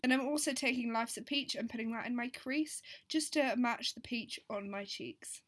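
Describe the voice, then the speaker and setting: Croaky-voiced woman gushing about makeup. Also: fairly high pitch, moderate pace, fairly bright tone. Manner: gushing, enthusiastic product commentary. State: croaky voice after a tonsillectomy. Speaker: beauty vlogger.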